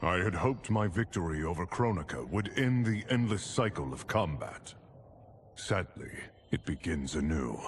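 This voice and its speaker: A man's voice: sits low, animated, normal speed, slight background noise. A man playing a wise, weary leader in a video game cutscene, solemn and formal. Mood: grave regret.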